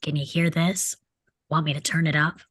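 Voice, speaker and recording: A woman's voice: deep voice, flat delivery, moderate pace, fairly bright tone, dry recording, clean studio sound. A woman reading the part of a mocking, crude teenage delinquent boy at a table read of a film script. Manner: mocking, needling, insolent.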